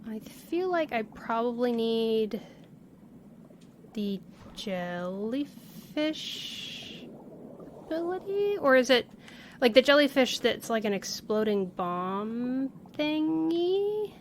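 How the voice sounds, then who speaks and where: A woman's voice: on the high side, sing-song, slow, slight background noise. A woman giving casual livestream commentary on a video game, thinking aloud in a playful, exclaiming manner. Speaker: game streamer. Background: game music.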